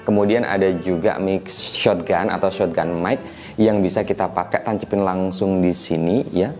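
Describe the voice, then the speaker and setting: Deep-voiced man, stuffy and nasal. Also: normal speed, faint music behind. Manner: relaxed, chatty, frequent tag questions. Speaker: tech reviewer teaching mic setup. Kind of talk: casual explanatory tutorial.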